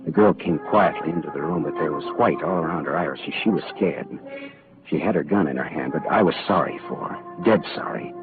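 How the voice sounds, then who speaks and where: Deep voice, hard-boiled private eye, wry and clipped, tinny old radio sound, faint music. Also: male voice, lively intonation, brisk, gravelly, exaggerated voice. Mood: narrating. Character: tough, wisecracking male private detective. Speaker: narrator and detective. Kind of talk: 1940s radio acting.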